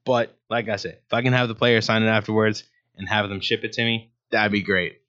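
A man's voice: on the low side, level tone, fairly quick, sharp tone. A man hosting his own sports talk show, rambling casually with many ums and restarts. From Ohio, USA. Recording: dull lo-fi sound.

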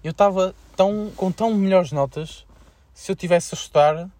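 A young man with a European Portuguese accent chatting informally as a podcast co-host, with slangy, halting delivery. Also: high-pitched, warm tone. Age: young adult. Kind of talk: casual student podcast chat.

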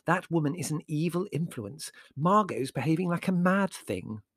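A man's voice: a little high, expressive, normal speed, fairly bright tone, no room sound, studio quality, pitched above the performer's own voice. A man voicing a fussy, nervy middle-aged woman who talks in a hissing, disapproving way. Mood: scandalised. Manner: hissing, scandalised.

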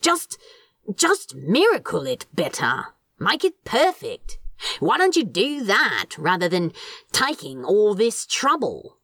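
A woman's voice: mid-range voice, wildly swooping pitch, slow, fairly bright tone, dry recording, clean studio sound, exaggerated voice. A woman voicing an irritable, sarcastic male demon in an audiobook story, emphatic and scornful. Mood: demanding. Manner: exasperated, emphatic, sardonic.

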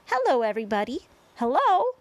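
A woman playing a small boy in a storybook, cheerful, polite and excited, with exclamatory, singsong rhyming delivery. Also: a little high, unhurried, dry recording, voice pushed up, exaggerated voice. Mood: excited greeting.

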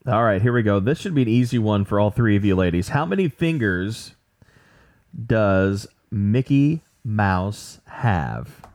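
Man with a lively, animated voice. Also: fairly low pitch, a little slow. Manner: dry, self-deprecating humor. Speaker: podcast co-host, quizmaster. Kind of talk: joking game-show hosting.

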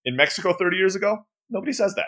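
A man's voice: high voice, animated, rapid-fire, pushed and bright. A man with an American accent, a native Turkish speaker, chatting as a podcast guest and laughing easily. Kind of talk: casual interview chat.

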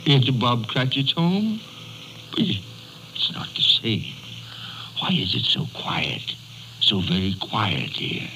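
An actor playing a frightened, repentant old miser in an old radio drama, theatrical, pleading and halting. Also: male voice, mid-range voice, wildly swooping pitch, noisy background, full character voice. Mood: fearful, resigned. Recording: old radio broadcast with music.

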